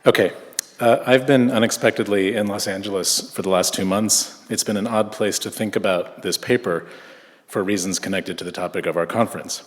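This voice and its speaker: A man from Southern California reading an academic conference paper in a measured way, with frequent filler hesitations. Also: on the low side, steady tone. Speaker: conference speaker. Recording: echoey lecture hall.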